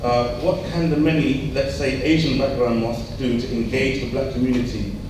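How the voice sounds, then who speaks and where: A man's voice: medium pitch, steady tone, moderate pace. A man informally moderating a question session, hesitant and improvising as he goes. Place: large echoey room at a public event. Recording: very echoey hall, distant mic, clear noise.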